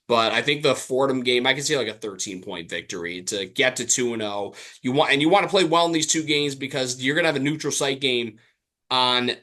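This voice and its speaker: A man with a mid-pitched, bright and forceful voice chats casually as a sports podcast co-host. He thinks aloud, feeling his way through each point with some hesitation. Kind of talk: casual chat about basketball.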